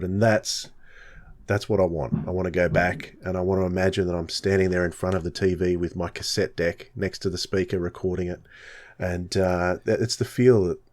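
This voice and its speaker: Man, podcast host, deep pitch, casual conversational delivery. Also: a little mellow. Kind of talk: casual chat.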